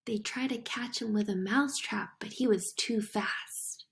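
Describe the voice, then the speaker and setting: A woman reading a picture book aloud to young children in an expressive, deliberate storytelling manner. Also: a little high, gravelly. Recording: music behind the voice. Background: music.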